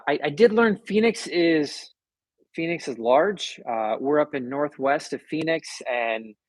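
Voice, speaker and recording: Man with a higher-pitched voice, chatting casually. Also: no echo, low-quality recording. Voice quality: soothing. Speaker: livestream host, author. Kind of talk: casual livestream chat, reading and answering viewer comments.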